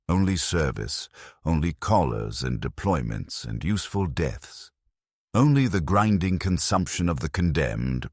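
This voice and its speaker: A man narrating a dark first-person sci-fi story as a bleak, resigned dead convict soldier, in a grave, measured, deliberate way. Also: extremely low pitch, no echo, clean studio sound. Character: bleak, resigned ghost of a condemned legionnaire.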